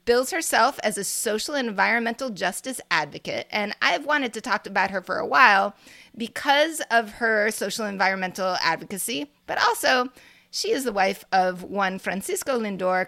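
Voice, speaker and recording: Bright, gravelly woman podcast co-host. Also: mid-range voice. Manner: chatty, meandering, laughs often. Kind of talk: casual podcast chat.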